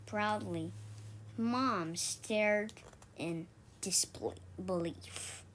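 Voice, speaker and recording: A woman reading a children's story aloud, haltingly, often stumbling over and repeating words. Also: medium pitch, a bit bright, slight background noise. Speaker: storybook reader for an online read-aloud.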